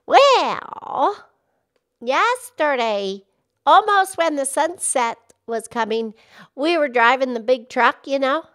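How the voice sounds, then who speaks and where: A cheerful, childlike pig puppet, voiced by a woman chats in a high voice. The delivery is slow-paced yet excitable and folksy, made for a children's puppet show. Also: exaggerated voice. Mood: eager, explaining. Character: cheerful, chatty young pig puppet explaining animal facts.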